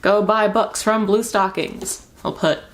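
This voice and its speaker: A woman's voice: mid-range voice, steady tone, a little slow. A young woman giving a casual, rambling book review as a vlogger, speaking candidly and informally with emphatic repeated words.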